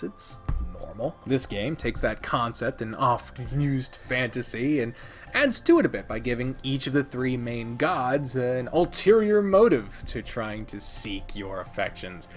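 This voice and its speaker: A man hosting a casual countdown video, talking informally and emphatically with plenty of swearing. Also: medium pitch. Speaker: video host, visual novel reviewer. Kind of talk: casual scripted top-ten countdown commentary.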